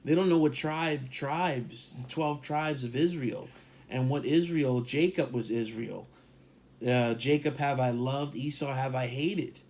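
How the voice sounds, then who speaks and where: A man's voice: mid-range voice, a little slow, darkish tone. Emphatic, rambling New man. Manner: rambling, emphatic, repeats himself for stress. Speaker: podcast co-host. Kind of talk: casual opinion talk.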